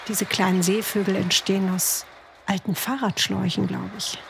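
A woman's voice: mid-range voice, level tone, normal speed, vocal fry. Chatty woman podcast host, noisy recording. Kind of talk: conversational interview with narrated passages.

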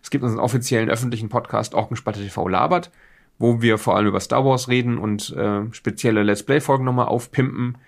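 A man's voice: mid-range voice, fairly even intonation, quick pace, creaky. A man hosting a podcast, explaining things casually and off the cuff in a rambling, self-deprecating way. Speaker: podcast host. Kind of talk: casual unscripted podcast introduction.

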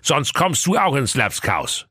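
Man doing a bright, grumbling canteen chef. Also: medium pitch, sing-song, unhurried, breathy edge, no room sound, clean studio sound, pitched above the performer's own voice, full character voice. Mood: deadpan threatening. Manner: grumbling, matter-of-factly threatening. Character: gruff, harried, menacing canteen chef. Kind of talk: comic fairy-tale audio story.

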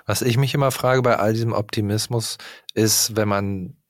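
A man's voice: lowish voice, fairly even intonation, moderate pace, no room sound, clean studio sound. A man interviewing a guest, asking long, thoughtful, probing questions. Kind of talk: conversational interview.

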